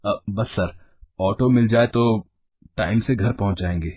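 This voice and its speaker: A man's voice: on the low side, a little slow, dry recording, pitched below the performer's own voice. A man voicing a disapproving but deferential young husband and father in a cartoon story. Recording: narrow phone-like sound.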